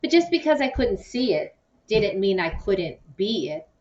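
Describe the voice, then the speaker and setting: A woman reading a children's novel aloud in an expressive, storytelling way, acting out the dialogue. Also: mid-range voice, measured pace. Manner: animated, acts out dialogue. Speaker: audiobook-style reader. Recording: distant, muffled microphone.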